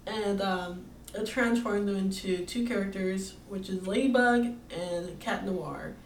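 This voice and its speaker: Female co-host, soft and hesitant, distant mic, faint hiss. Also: mid-range voice, measured pace. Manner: soft-spoken, hesitant, many "um"s. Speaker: podcast co-host. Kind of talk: casual podcast chat. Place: indoor room. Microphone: set far from the mouth. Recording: echoey room, far from the mic, faint hiss.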